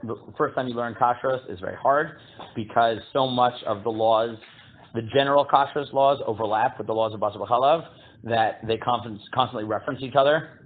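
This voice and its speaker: A man teaching a casual Torah class, halting with many fillers, reading Hebrew text aloud and translating it. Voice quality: booming voice. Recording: tinny phone-like line.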